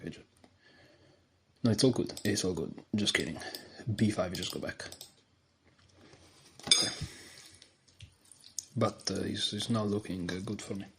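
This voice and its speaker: A man with a deep voice talking quietly through his moves as he plays an online chess game. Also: background noise. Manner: quiet, low-voiced thinking aloud. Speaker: chess streamer. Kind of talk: live game commentary.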